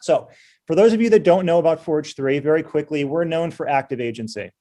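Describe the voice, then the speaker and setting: A man's voice: on the high side, a little fast, dark tone. A middle-aged man hosting a casual business webinar over a video call, chatty and easygoing with many fillers. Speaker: webinar host.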